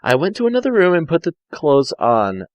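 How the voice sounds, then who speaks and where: A man's voice: fairly high pitch, huge pitch swings, a little fast, no room sound, dull audio. A man giving an amateur dramatic story reading, stumbling, correcting himself and dropping in asides. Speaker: fan fiction reader.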